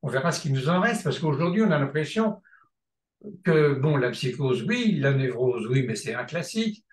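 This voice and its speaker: A man's voice: on the high side, expressive, moderate pace, roomy sound. A man teaching a seminar, talking off the cuff, often restarting and stalling on filler sounds, then hammering a point with repetition. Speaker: seminar lecturer in psychoanalysis.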